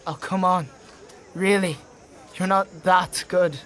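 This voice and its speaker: High-voiced, grumbling squire. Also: male voice, steady tone, slow, a bit bright, some chatter behind. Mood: grumbling, teasing. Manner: informal, teasing, complaining. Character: young male squire, grumbling, good-natured, easily flustered. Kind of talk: dark fantasy audio drama acting.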